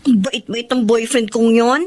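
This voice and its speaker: A woman's voice: fairly high pitch, fairly even intonation, a little slow, a little mellow, a little hiss or hum, close to an everyday voice. A woman playing a smitten, stubborn young girlfriend in a radio drama. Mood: defensive. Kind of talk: radio drama acting with first-person narration.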